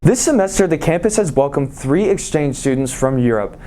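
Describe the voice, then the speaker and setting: A student news anchor reading scripted lead-ins. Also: female voice, low voice, lively intonation, normal speed, warm tone.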